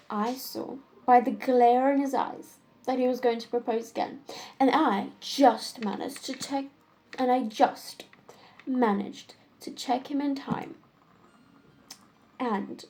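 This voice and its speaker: A young woman playing a witty, fussy upper-class society lady in a stage comedy monologue, deliberate and complaining. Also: high voice, darkish tone, a little hiss or hum, low-quality recording. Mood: haughty, amused.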